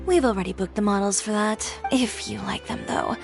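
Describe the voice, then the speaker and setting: An actress playing a confident, protective young fashion designer in a video game, with a dry, assertive delivery. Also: female voice, medium pitch, moderate pace, music in the background, natural voice. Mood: businesslike. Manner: assertive, matter-of-fact, dry.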